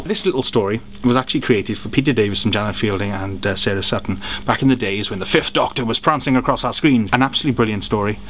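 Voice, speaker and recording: A man giving an animated, gushing review of audio dramas and radio plays, throwing in jokes as he goes. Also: lowish voice, a little hiss or hum. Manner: animated, jokey, gushing with praise. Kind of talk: enthusiastic product review.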